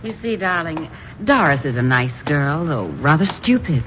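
A woman's voice: low voice, very animated, normal speed. A woman playing a ruthless, condescending, commanding smuggling boss in a 1950s radio spy drama. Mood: smug. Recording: dull, noisy vintage radio recording.

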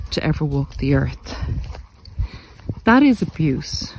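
A man giving a reflective, earnest monologue to listeners, speaking deliberately in short phrases with pauses. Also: fairly high pitch, noisy background. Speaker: podcast host and narcissistic abuse coach. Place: outdoors in the countryside, walking. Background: country sounds.

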